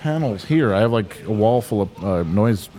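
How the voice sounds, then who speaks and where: A man's voice: on the low side, animated, normal speed, warm tone, a little hiss or hum. A man co-hosting a podcast in an apartment room, bantering casually and profanely with mumbled asides. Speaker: podcast co-host.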